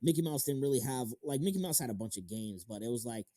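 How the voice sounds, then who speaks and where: A man's voice: mid-range voice, animated, quick pace, warm tone, dry recording, clean studio sound. A thirty-year-old man hosting an interview as a casual chat, informal and slangy with some casual swearing. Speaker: interview show host. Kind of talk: casual chat about games and films.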